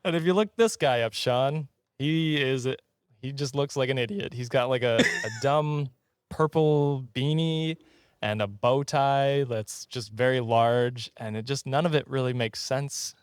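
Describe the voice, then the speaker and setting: Male comedy podcast host. Informal storyteller, amused and jokey, mid-pitched voice, tight dry sound. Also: measured pace, clear, resonant tone, dry recording.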